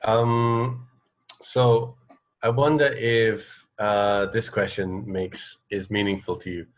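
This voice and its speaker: Guy doing a radio interview, lowish voice, thinks out loud, rambles and hesitates a bit. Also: flat delivery, slow pace. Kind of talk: casual interview.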